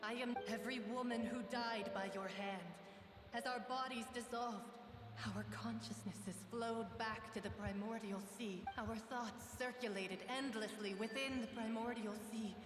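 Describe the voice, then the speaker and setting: A woman's voice: fairly high pitch, steady tone, moderate pace, close to an everyday voice. A woman playing a vengeful, ghostly collective of murdered women in a fantasy game, speaking in solemn, theatrical accusation. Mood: solemn, eerie. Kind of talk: dramatic video game voice acting. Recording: long echoing reverb, distant, music behind.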